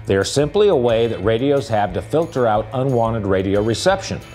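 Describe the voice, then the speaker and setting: Lively man explaining gear. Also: medium pitch, fairly quick, faint music behind. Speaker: product presenter. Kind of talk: scripted product explainer voice-over.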